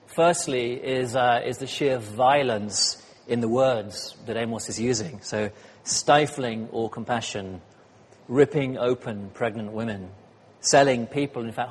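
Husky-voiced man preaching in a hall. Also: medium pitch. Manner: chatty, self-deprecating, many ums and uhs. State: slightly hoarse. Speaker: guest speaker. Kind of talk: sermon with light humor. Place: church hall. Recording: church hall reverb.

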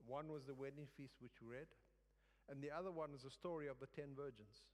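A man giving a sermon as a guest preacher, in a halting way with phrases repeated for emphasis. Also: mid-range voice. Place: church service in a large room. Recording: reverberant hall.

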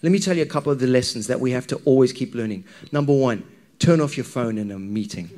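A man's voice: medium pitch, fast talker, dark tone. A man with a Zimbabwean accent preaching a sermon to a congregation in a conversational, questioning manner. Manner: conversational, engages listeners with questions and anecdotes.

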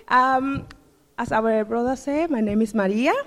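A woman's voice: high-pitched, big pitch swings, takes it slowly. A woman from the Dominican Republic with a Spanish accent, giving a joyful church testimony that she keeps breaking with hallelujahs. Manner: joyful, laughing, repeating hallelujah. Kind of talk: church testimony and short sermon. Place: church hall. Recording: long hall reverb.

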